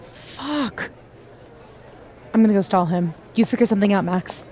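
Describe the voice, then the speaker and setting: A woman's voice: mid-range voice, lively intonation, normal speed, warm tone, close to an everyday voice. A woman playing a candid, teasing young woman in a video game. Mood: worried. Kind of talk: video game dialogue acting.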